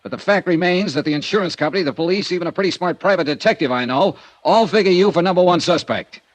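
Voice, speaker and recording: A man's voice: high voice, quick pace, no echo, lightly acted. A man playing a hard-boiled male insurance investigator in a 1950s radio drama, with clipped, dry tough-guy delivery, narrating and questioning. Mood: firm. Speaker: lead actor and narrator.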